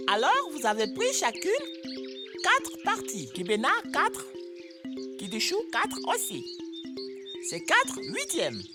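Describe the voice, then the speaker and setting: A woman's voice: high voice, full character voice. A woman voicing a bossy but patient older girl in an educational cartoon, explaining with emphasis. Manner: instructive, emphatic. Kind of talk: French-language educational cartoon dub. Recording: music behind the voice.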